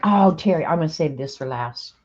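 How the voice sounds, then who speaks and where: A woman's voice: deep voice, animated, fairly quick, a little mellow. A woman in her sixties chatting casually on a livestream as she opens mail, thinking aloud and laughing often. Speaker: streamer opening mail on stream. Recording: slightly dull lo-fi stream audio.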